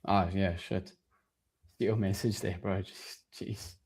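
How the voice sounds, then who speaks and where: A relaxed young man in his twenties, co-hosting a live markets stream. He talks loosely and casually, calls his co-host "bro" and often drifts off mid-thought. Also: sits low, slow pace, husky. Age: 20s. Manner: laid-back, says "bro" and "dude", trails off mid-sentence.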